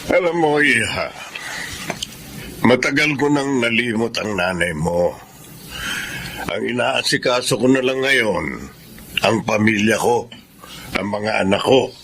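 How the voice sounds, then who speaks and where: A cold middle-aged Filipino father in a radio drama, with a hard, bright edge to his voice. He is curt and dismissive, over slight background noise. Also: mid-range voice, takes it slowly, long pauses. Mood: cold, irritated. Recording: faint noise behind voice.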